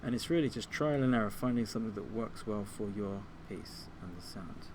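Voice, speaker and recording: A man giving an informal software tutorial, explaining things step by step like a teacher. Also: on the low side, expressive, brisk, over loud music. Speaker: music technology teacher.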